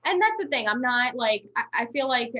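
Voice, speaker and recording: A woman's voice: on the high side, very animated, moderate pace, sharp tone, lo-fi sound. A woman in her twenties chatting casually as a guest, rambling and restarting her sentences. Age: 20s. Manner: rambling, talkative, many restarts and "like". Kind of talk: casual chat.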